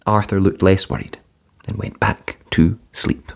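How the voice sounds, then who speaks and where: A man reading a children's picture book aloud in a gentle, expressive bedtime-story manner. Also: sits low, unhurried.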